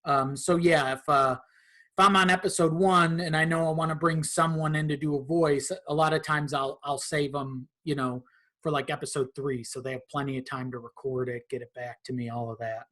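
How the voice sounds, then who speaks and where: A man's voice: on the high side, level tone, normal speed, pushed and bright. A man talking casually as a podcast guest, in an informal and slightly hesitant way. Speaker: podcast guest, content creator.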